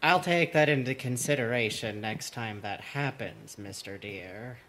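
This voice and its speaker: A man's voice: mid-range voice, a little slow, fairly bright tone, a little hiss or hum. A man voicing a fussy, dry-witted puppet gardener and bug expert in a cartoon, with prim, precise, sardonic wording. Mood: dryly amused. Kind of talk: cartoon character voice acting.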